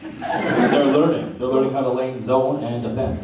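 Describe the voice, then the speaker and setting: A boisterous, jokey man chatting excitedly as a panelist at a convention. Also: medium pitch, unhurried. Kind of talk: joking panel chat. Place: large hotel convention panel room. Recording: very echoey hall, far off, noisy crowd. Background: loud crowd and room noise.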